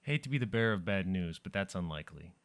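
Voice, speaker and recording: A man's voice: low voice, expressive, fast talker. A man playing a blunt, unfazed captor in an audio drama, clinical and matter-of-fact. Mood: coolly dismissive.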